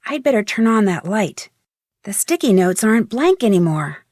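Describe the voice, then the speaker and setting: A woman's voice: medium pitch, sing-song, moderate pace, darkish tone, dry recording, studio quality. An actress playing a curious, thoughtful young woman detective thinking aloud in a game.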